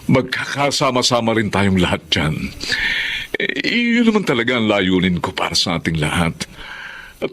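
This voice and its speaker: A man's voice: on the low side, animated, normal speed, fairly bright tone. An actor playing a devoted, hardworking middle-aged husband and father in an emotional radio drama, with a Filipino accent. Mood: longing, proud.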